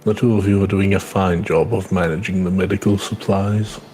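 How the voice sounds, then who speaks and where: Deep, bright-voiced formal knight played by a man. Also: steady tone, natural voice. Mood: pleased. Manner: formal, measured, polite. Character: calm, gracious knight in charge of an infirmary.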